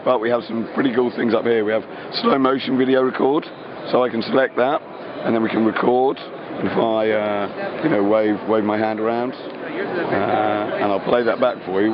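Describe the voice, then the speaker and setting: Croaky man demoing a phone. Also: fairly low pitch. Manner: fillers, quick geeky explaining. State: croaky, tired voice. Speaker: company product presenter. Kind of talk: casual product demo. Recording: lo-fi with loud background noise.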